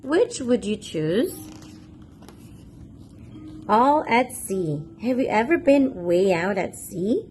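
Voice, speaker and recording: A woman's voice: mid-range voice, very animated, slow, long pauses, a little mellow, slight background noise. A woman hosting a children's read-aloud, reading a picture book expressively and putting questions to young listeners. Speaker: children's read-aloud storyteller and channel host.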